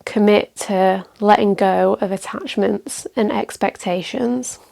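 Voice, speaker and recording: A woman guides a meditation as a teacher, speaking calmly and gently in measured phrases with pauses. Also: mid-range voice, vocal fry. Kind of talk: guided meditation, calm instruction.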